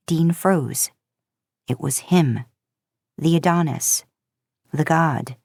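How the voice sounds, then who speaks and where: A woman's voice: lowish voice, darkish tone, no echo, studio quality. A woman narrating a story as a podcast host, in audiobook style.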